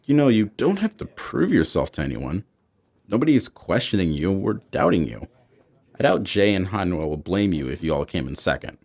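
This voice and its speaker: A man playing a reassuring, gently chiding father in an audio drama. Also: sits low, very animated, normal speed, vocal fry, heavily acted. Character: supportive, gently chiding father of teenage daughter. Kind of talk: scripted audio drama acting.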